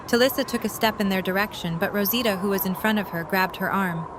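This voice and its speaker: A woman's voice: mid-range voice, fast. A woman reading scripted story narration for an audio drama. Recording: noisy storm ambience behind.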